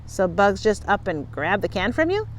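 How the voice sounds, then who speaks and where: Woman reading as a calm, clever boy detective. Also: medium pitch, normal speed, slight background noise, close to an everyday voice. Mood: inquiring. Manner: level, matter-of-fact.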